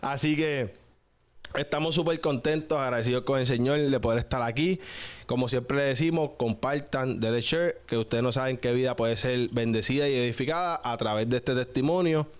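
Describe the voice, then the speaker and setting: A man's voice: mid-range voice, moderate pace, clear, resonant tone. A man hosting an interview in a casual, conversational style. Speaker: host interviewer.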